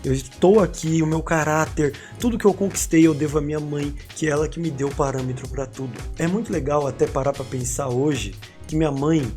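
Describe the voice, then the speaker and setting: A man in his thirties with a Paulista accent tells his own story in a relaxed, reflective tone with bits of street slang. His voice sits slightly high but sounds warm and mellow. Soft music plays faintly behind him. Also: fairly quick, hardly any pauses. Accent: Paulista Brazilian Portuguese. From São Paulo, Brazil. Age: 30s. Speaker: YouTuber. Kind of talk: personal storytelling to camera.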